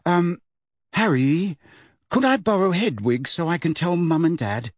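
A man's voice: on the high side, animated, unhurried, no room sound, pitched above the performer's own voice. A man voicing an earnest, bossy teenage girl with a prim way of talking. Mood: tentative.

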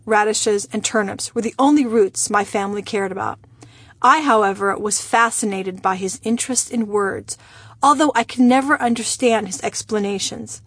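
A woman from Iran, a native Persian speaker, reading her own memoir aloud in a measured, wry storytelling manner. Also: medium pitch, no room sound. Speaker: memoir narrator.

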